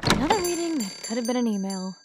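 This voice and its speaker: An actress playing a casual, wry, supportive coworker in a naturalistic audio drama. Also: female voice, on the high side, animated, fast, very noisy, natural voice. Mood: wry, teasing. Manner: wry, informal.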